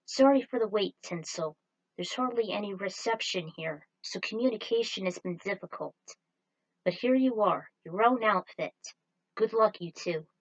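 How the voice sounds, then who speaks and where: A woman's voice: mid-range voice, moderate pace, highs cut off, lightly acted. Adolescent female support officer read by a woman narrator, friendly, upbeat, projected slightly, for an adventure story. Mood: friendly, apologetic. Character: helpful adolescent girl communications officer, upbeat.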